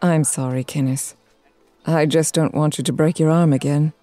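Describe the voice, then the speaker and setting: A woman's voice: low-pitched, steady tone, normal speed, dark tone, no room sound, faint music behind, natural voice. A woman playing an anxious, protective mother in an audio drama, fussing and pleading. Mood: apologetic.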